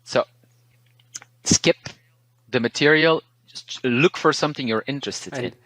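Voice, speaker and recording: A man from Belgium with a Belgian Dutch accent whose first language is Dutch, a language teacher talking through learning tips in a relaxed, thoughtful way, pausing and restarting words. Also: mid-range voice, no echo, poor audio. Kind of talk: interview, casual advice.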